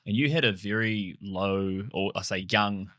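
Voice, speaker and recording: A low-voiced man hosts an interview, his tone bright and forward. He asks rambling questions, correcting himself as he goes, recorded dry and close. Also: measured pace, no room sound. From New Zealand. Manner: loose questions with fillers and self-corrections. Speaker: podcast host. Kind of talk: casual interview chat.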